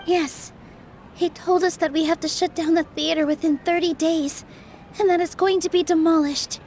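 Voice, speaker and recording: A woman playing a gentle, earnest young female dancer in an English anime game dub, with a soft and sincere manner. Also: very high voice, steady tone, normal speed, a little hiss or hum. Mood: earnest, reassuring.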